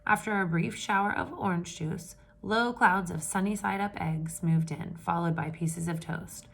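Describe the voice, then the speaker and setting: A woman reading a picture book aloud to children with lively expression, pausing to ask her listeners questions in hushed asides. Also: on the low side, vocal fry. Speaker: read-aloud storyteller for children.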